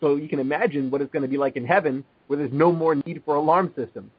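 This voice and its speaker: A man's voice: fairly high pitch, quick pace, breathy edge, lo-fi sound. A man from Long Island, telling a personal story in a casual, hesitant way, with many ums and restarts. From Long Island, New York.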